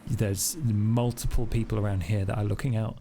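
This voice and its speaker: A man's voice: fairly low pitch, fairly even intonation, moderate pace, warm tone, slight background noise. A man narrating a tabletop roleplay game as game master in a casual style.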